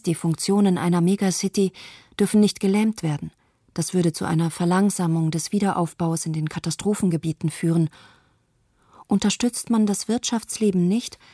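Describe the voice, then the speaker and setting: A woman playing a thoughtful, guilt-troubled mother in a measured first-person narration for a radio play. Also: on the low side, mellow, no echo, studio quality. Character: thoughtful Tokyo mother who fled with her daughters, troubled by guilt.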